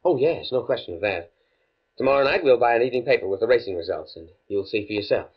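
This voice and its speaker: A man's voice: medium pitch, lively intonation, quick pace, slightly rough. An actor playing an earnest, naive, pedantic male university scientist in a stuffy, precise 1950s radio drama style. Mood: confident, eager. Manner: precise, stuffy, stammers when flustered. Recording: muffled vintage 1950s radio recording.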